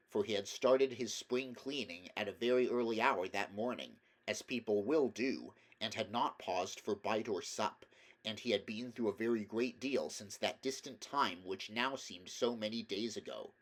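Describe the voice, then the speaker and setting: A man narrating a children's animal story as an expressive audiobook reader. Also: on the low side, moderate pace.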